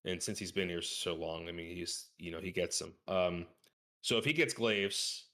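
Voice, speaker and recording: A man's voice: sits low, normal speed, fairly bright tone. A man talking casually as a guest on a gaming podcast, using informal gamer slang.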